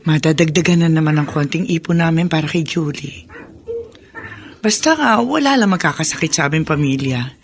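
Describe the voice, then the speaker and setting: A woman's voice: sits low, animated, normal speed, slight background noise. An actress playing a caring, teasing mother in a Filipino radio drama, with a Filipino accent. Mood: hopeful.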